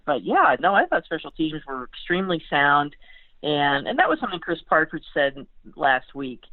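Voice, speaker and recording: A woman's voice: low-pitched, no echo. American woman sports writer on a phone line, casual chat, keeps backtracking and repeating herself, dry sound. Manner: halting, repeats phrases and backtracks often. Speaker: sports beat writer, podcast guest. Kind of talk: casual sports interview analysis.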